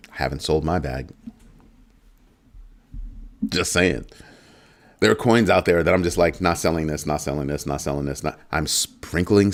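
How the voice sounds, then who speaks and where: A man with a very low, deep voice hosts a casual crypto livestream. He is middle-aged and talks loosely to his viewers, repeating phrases and tossing in playful asides. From United States. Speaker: crypto show host.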